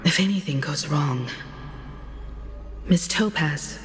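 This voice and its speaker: An actress playing a composed, courteous starship crew leader in a video game, speaking in a measured, formal way. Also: female voice, deep voice, long reverb tail, faint music behind.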